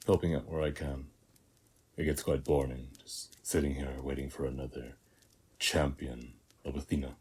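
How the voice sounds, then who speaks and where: A man playing a weary, battle-hardened warrior of Ares in a scripted audio roleplay, speaking in measured, reflective short phrases. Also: darkish tone, a little hiss or hum. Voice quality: very deep, husky, breathy.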